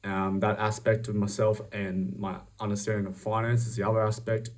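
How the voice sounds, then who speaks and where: A young Australian man pitching himself for a job in a fairly low voice, picked up from some distance away from the mic. He sounds upbeat and self-promoting, stumbling through frequent ums and restarts as he works from his prompts. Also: level tone, a little fast, darkish tone. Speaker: job applicant.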